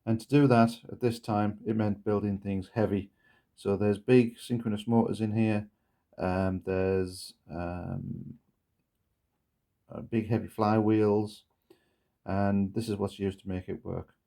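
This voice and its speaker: A man giving a casual, step-by-step hands-on demonstration in a halting, explanatory way. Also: on the low side, steady tone, vocal fry, dark tone. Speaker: presenter of a gadget demonstration video. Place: indoors at a wooden table.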